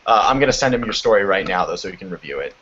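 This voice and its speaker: A teenage guy with a deep baritone speaks in a halting, rambling way. He is a guest chatting casually on a group voice call, and the sound is slightly dull and lo-fi. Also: animated, a bit bright, slight background noise. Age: teens. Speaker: guest on a writing advice stream. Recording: muffled lo-fi internet call audio.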